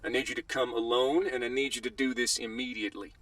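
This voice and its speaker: A man acts as an endangered man on a communicator in a video game. His voice is tense and forceful, at a medium pitch and slightly far off. His delivery is controlled and formal. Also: flat delivery, fast, lightly acted. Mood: strained, urgent. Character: endangered man giving terse, urgent instructions. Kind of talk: video game cutscene acting. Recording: distant-sounding communicator call.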